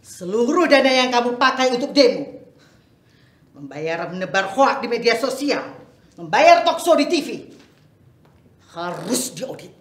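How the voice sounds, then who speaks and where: A woman's voice: fairly high pitch, very animated, unhurried, long pauses. A woman playing a combative, self-important political schemer in an emphatic dramatic reading. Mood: accusing. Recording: echoey room.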